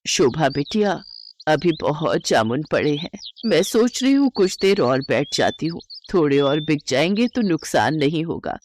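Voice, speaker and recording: A woman's voice: low voice, big pitch swings, normal speed, slight background noise, pitched below the performer's own voice. Woman playing a weary, kind old grandmother. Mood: gentle, weary. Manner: affectionate, gently reassuring elder's talk. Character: kind, weary eighty-year-old grandmother selling jamun.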